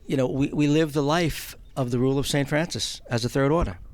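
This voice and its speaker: A man's voice: mid-range voice, fairly quick, background noise. A middle-aged man with a New York accent, answering as an interview guest in a hesitant, reflective, plain-spoken conversational way. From Long Island, New York, United States. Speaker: guest, film producer and charity house director.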